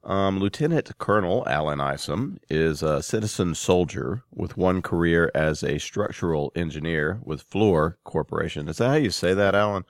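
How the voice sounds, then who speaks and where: A man with a very deep, low voice speaks as a podcast host. His delivery is conversational and casual, with some hesitant phrasing. The sound is dry and close, with no room around it. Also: expressive, moderate pace, few pauses, no room sound. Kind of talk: conversational podcast announcing and interviewing.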